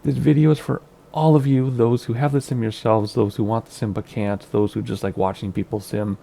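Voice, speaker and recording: Raspy-voiced man, low and gravelly. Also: steady tone, fairly quick, mellow, a little hiss or hum. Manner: chatty, rambling, thinks aloud while clicking through menus. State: raspy from wildfire smoke. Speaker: flight sim video host. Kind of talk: casual gameplay commentary. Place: home computer setup.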